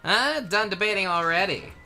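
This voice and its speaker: A man's voice: sits high, lively intonation, normal speed, creaky, pushed and bright. A man playing and dubbing a video game in casual group banter, switching between chat and read lines. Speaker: player and fan dubber of a video game. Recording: music playing behind the voice. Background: game music.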